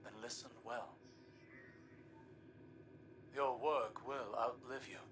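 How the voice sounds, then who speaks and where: A man's voice: medium pitch, steady tone, fairly bright tone, far from the mic, a little hiss or hum. An actor playing a regretful, wise older male scholar in a video game, speaking earnestly and reflectively to counsel a colleague. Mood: earnest warning. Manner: reflective, measured phrasing, earnest.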